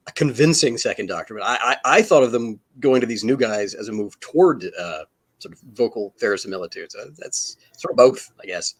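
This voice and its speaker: A man's voice: on the low side, a little fast. A man on a panel chatting informally and giving his opinions as a fan. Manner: informal, conversational. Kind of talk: casual, opinionated fan chat.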